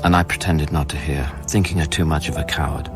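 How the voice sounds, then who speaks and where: Deep voiced haunted space scientist, guilty and hesitant, radio play with loud music under him. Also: male voice, fairly even intonation, brisk. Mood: grieving, brooding. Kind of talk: radio play acting and first-person narration.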